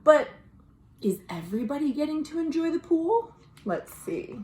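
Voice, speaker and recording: An American woman reading a children's story aloud, expressive and dramatic, pausing to explain things simply. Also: high-pitched, noisy background. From United States. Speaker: librarian, storytime host. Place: at home. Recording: roomy, echoey home recording.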